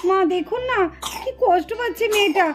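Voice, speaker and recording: A woman's voice: very high voice, fairly quick. A woman voicing a worried young mother doll in a puppet drama, fretful and soothing.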